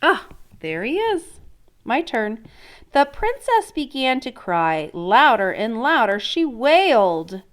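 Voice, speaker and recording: A woman teacher reading a storybook aloud in an expressive, instructional way, prompting listeners with questions. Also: fairly high pitch, slow. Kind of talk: interactive storybook read-aloud lesson.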